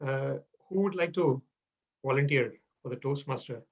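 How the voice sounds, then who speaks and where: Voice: mid-range voice, normal speed, lo-fi sound. A person leading an online club meeting, agreeing often and steering the discussion. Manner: agreeable, short affirmations. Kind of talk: casual online meeting chat. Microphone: video call.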